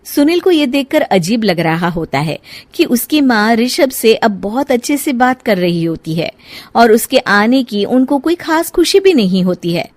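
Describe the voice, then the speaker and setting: Woman storyteller, scripted moral-tale narration, highish pitch, animated delivery. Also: measured pace, no room sound.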